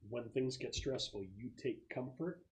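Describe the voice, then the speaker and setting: A man acting as game master, casually narrating scenes and explaining rules in a halting, think-aloud way. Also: medium pitch, darkish tone.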